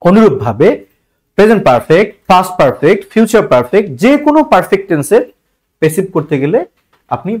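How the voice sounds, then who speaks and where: Male teacher, Bangladeshi accent, fairly high voice, instructional, step-by-step, repetitive, rhetorical questions. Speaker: English grammar teacher. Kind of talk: instructional lesson for exam students.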